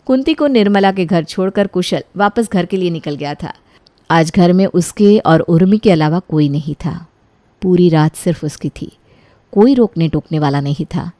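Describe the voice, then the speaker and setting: A woman's voice: on the low side, warm tone, dry recording. A woman reading a scripted dramatic story as its narrator.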